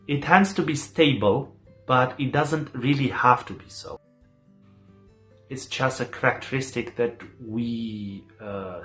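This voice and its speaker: A man in his twenties from Romania, giving measured, reflective interview answers. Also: mid-range voice, fairly bright tone. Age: 20s.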